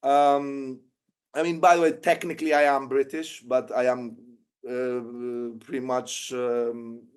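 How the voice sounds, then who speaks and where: A man's voice: medium pitch, level tone, slow pace, clean tone. A man with a Greek accent, answering interview questions at length with frequent fillers and restarts. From Greece. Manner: hesitant, many fillers and restarts. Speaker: guest filmmaker.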